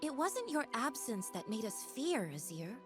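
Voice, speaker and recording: A woman's voice: a little high, sing-song, moderate pace, over music. A woman voicing an earnest, wary young desert heroine in a video game, speaking pointedly. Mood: gentle reproach.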